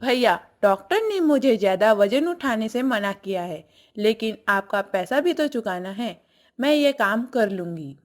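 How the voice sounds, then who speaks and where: A dutiful, honest village wife played by a woman in a cartoon tale. Her voice is fairly high and she speaks earnestly and respectfully. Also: lively intonation, a little slow.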